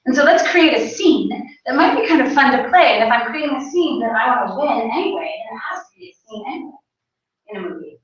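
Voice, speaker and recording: A woman speaking on a panel in an animated, playful and emphatic way, often posing rhetorical questions. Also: high-pitched, quick pace, a crowd in the background. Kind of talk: animated personal talk at a panel event. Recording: echoey room, distant mic, dull sound.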